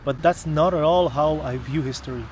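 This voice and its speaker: A man's voice: fairly high pitch, normal speed, darkish tone, a little hiss or hum, poor audio. A man from Canada talking to the camera in a casual explanatory vlog, earnest and emphatic, often posing rhetorical questions. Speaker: vlogger, history content creator.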